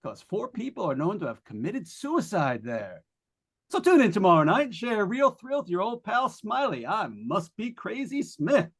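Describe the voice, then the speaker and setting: A high, very lively voice for an excitable late-night jazz disc jockey , played by a man. He is jovial and wisecracking, chatting to listeners in showy, folksy patter in the manner of old-time radio drama. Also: normal speed, slightly rough, heavily acted. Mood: mock-spooky, jovial.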